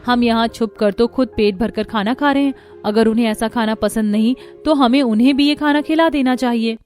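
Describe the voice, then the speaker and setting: A woman's voice: a little high, a little fast, darkish tone, soft background music, lightly acted. A woman voicing a caring but indignant young wife in a cartoon, earnest and reproachful. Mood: concerned.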